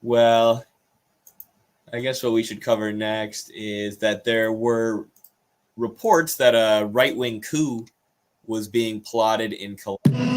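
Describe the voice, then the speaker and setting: A man with an American accent hosting a political livestream, explaining news in an earnest, informal way with halting phrasing and some reading aloud. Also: lowish voice.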